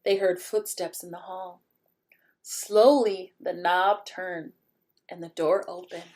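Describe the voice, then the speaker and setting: A woman reading a picture book aloud to young children in an expressive way, pausing to ask the listeners questions. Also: on the low side. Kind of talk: animated children's read-aloud with asides to the listeners.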